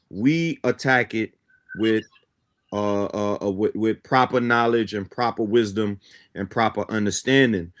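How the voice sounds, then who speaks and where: A man from Guyana who grew up in Brooklyn, explaining his ideas in a didactic way as a talk show guest. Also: lowish voice. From born in Guyana, grew up in Brooklyn, New York. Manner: didactic, often checks in with "right?". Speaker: guest, teacher of a belief system.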